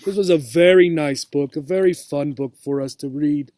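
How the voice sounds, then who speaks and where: A man reading a children's storybook aloud as a teacher would, with simple, deliberate phrasing and asides to young listeners. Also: on the high side, warm tone, dry recording, studio quality.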